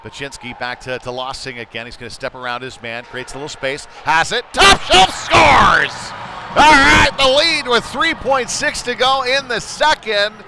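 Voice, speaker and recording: A man's voice: sits high, animated, moderate pace, crowd noise. A man calling live ice hockey play-by-play in a conversational, folksy way, with casual asides between the action. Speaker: play-by-play commentator. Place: indoor youth hockey arena. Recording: noisy arena with clattering ambience.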